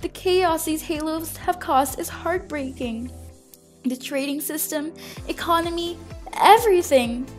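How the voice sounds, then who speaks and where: A woman's voice: sits high, unhurried, faint music behind. A woman voicing an earnest, grieving fountain goddess who speaks in dramatic, pleading speeches in an amateur fan film. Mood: anguished, reproachful.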